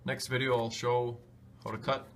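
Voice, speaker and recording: A man's voice: mid-range voice, little pitch movement, normal speed, fairly bright tone. A man hosting a DIY tutorial, giving a casual, plain-spoken hands-on walkthrough in an easygoing way. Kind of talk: casual hands-on how-to narration. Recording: clearly noisy home video sound.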